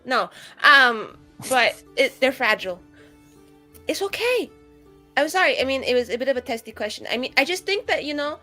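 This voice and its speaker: A woman playing a tabletop roleplaying game, chatting casually in an animated, rambling and frank way. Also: a little high. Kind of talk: casual improvised roleplay chat.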